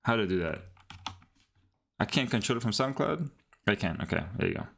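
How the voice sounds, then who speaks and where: A man's voice: fairly low pitch, brisk, creaky. A young man from Colombia chatting casually with viewers during a live coding stream, laid-back and slangy, restarting words and sometimes dropping into Spanish, speaking into a headset. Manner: relaxed, slangy, switches into Spanish, frequent restarts. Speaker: live-streaming app developer.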